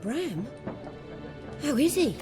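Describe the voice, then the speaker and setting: An actress playing a brisk, welcoming village innkeeper in a video game cutscene, polite and matter-of-fact. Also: female voice, high voice, big pitch swings, exaggerated voice. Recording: game audio with music behind.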